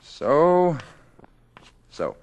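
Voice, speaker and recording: An actor in a 1950s radio drama playing a calm, courteous, quietly confident mysterious man with measured, polite speech. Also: a little high, exaggerated voice. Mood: calm, assured. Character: calm, courteous spaceman posing as Mister Carpenter, quietly confident. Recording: vintage 1950s radio broadcast recording.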